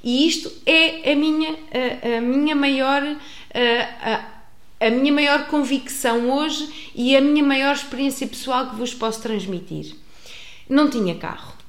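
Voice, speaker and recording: A woman with a European Portuguese accent telling a personal travel story to an audience, expressive, with many restarts. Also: sits high, a little slow. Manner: expressive, acts out dialogue, frequent restarts. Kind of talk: animated storytelling. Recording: roomy echo.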